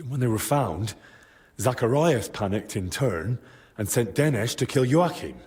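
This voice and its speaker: Young medieval hero played by a male actor. Earnest, respectful, measured delivery, medium pitch, game drama. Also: animated, a little mellow, close to an everyday voice. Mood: grave, explaining. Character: earnest, determined young man in medieval Bohemia. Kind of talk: dramatic video game cutscene acting.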